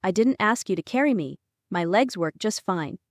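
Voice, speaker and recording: A woman playing a proud, stubborn, independent young woman in a fantasy audio drama, expressive and earnest. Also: mid-range voice, moderate pace, no echo, clean studio sound, close to an everyday voice. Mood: indignant. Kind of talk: fantasy audiobook narration and character dialogue.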